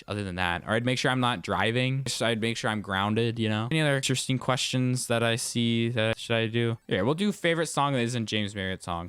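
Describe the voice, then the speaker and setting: A man's voice: on the low side, fairly bright tone, clear, resonant tone, dry recording. A young man rambling casually through viewer questions, his upbeat bursts trading off with mumbled asides. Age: young adult. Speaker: YouTuber answering viewer questions. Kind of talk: casual Q&A vlog.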